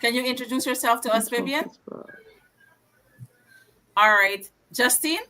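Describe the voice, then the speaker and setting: A woman hosting an online group call, briskly calling on each guest by name. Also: fairly high pitch, bright, forceful tone. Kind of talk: casual video call hosting.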